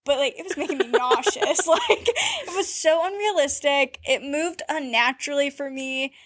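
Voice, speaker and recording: A young woman with a high, bright-toned voice, talking fast and loose with plenty of "like" and little giggles. She co-hosts a casual book chat, recorded close and dry with no room sound. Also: very animated, dry recording. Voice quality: high-pitched. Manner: chatty, giggly, lots of "like". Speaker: podcast co-host.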